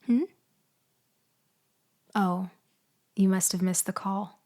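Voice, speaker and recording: Woman playing a bitter ex gf fresh out of prison, asmr roleplay, talks real slow, sardonic and fake sweet. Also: medium pitch, fairly even intonation, warm tone, natural voice. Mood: wounded, coolly pointed. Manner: slow, pointed, mock-sweet delivery with loaded pauses. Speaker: audio roleplay performer. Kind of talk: girlfriend ASMR roleplay acting.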